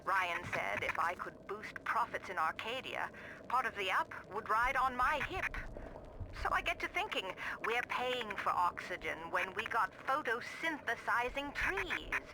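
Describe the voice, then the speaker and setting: A woman's voice: on the high side, lively intonation, unhurried. An actress playing a brash middle-aged woman scientist in a game audio diary, wry, earthy and boastful. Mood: shrewd, chatty. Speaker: botanist recording audio diaries. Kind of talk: dramatic audio diary monologue in a video game. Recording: noisy old radio-like audio diary.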